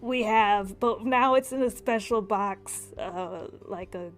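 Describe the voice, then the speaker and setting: A woman voicing a haggling shopkeeper in a tabletop game, with hesitant, drawn-out salesmanship. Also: medium pitch, soft background music, lightly acted. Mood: eager selling. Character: haggling shopkeeper selling enchantments, bombs and oddities.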